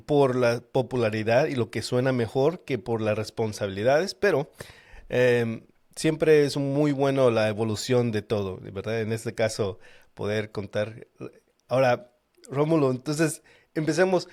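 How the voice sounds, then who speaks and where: A man's voice: medium pitch, a little slow, a little mellow. A man hosting a casual interview, asking questions a little hesitantly as he searches for words. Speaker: podcast host, interviewer.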